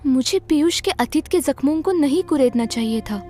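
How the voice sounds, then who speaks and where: Woman voicing a very high-voiced young bride. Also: expressive, normal speed, faint music behind. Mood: regretful. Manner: soft, apologetic. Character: young, remorseful new bride. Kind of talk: Hindi audio story acting.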